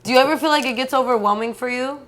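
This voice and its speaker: A woman's voice: high voice, rapid-fire. A young woman hosting a podcast, chatting casually with a guest and saying "like" often. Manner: relaxed, chatty, uses "like" often. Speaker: podcast host. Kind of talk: casual interview chat.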